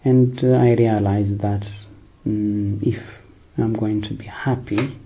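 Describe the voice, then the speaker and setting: A priest, telling his vocation story in a hesitant, unhurried way with many fillers. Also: fairly low pitch, steady tone, narrow, tinny audio, a little hiss or hum. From Eritrea, raised in Ethiopia. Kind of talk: personal vocation testimony.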